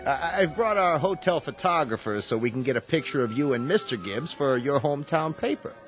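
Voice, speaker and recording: An actor playing a slick, two-faced hotel manager in a radio drama, smooth-talking and glib. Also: male voice, fairly high pitch, animated, a little fast, music in the background, natural voice. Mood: falsely cordial.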